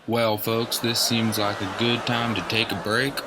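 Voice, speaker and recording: A man's voice: on the low side, flat delivery, unhurried, natural voice. A man playing a self-assured, skeptical talk show host in a radio drama. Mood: smooth hosting. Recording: faint background noise.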